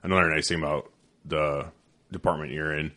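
Man, very deep voice, mumbly, bright forward tone, casual sweary host chat. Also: level tone, brisk. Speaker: podcast host.

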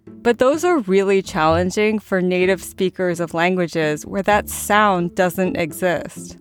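A woman hosting a science podcast, reading scripted narration in a measured, explanatory way. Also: on the low side, soft background music. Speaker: podcast host.

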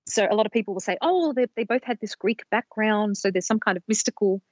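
A woman co-hosting a podcast, explaining research in a measured storytelling way on a slightly dull, lo-fi recording. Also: medium pitch, slightly rough, no echo. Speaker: podcast co-host presenting research.

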